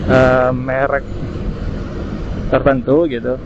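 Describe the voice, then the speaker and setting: A man chatting casually through an unscripted review, often pausing to search for words. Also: mid-range voice, completely flat delivery. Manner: hesitant, searching for words, frequent tag words. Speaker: vlogger reviewing gear. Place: outdoors on the road at night. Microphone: helmet intercom microphone. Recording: muffled intercom mic with road noise. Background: vehicle and street noise.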